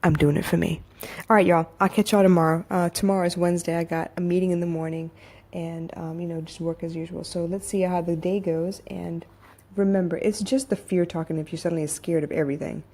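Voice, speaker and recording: A young woman hosts her own podcast as a life coach, talking straight to listeners in a frank, swearing motivational monologue. Her voice is soothing and mellow, sitting a little low. She sounds like she is chatting casually rather than reading a script. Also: little pitch movement, brisk.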